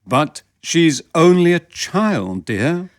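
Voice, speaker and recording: A woman voicing a mild but pompous King in a children's storybook, with a stately, drawn-out manner. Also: low voice, very animated, voice pushed down, heavily acted. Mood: pleading. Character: mild, pompous grown male King of playing cards.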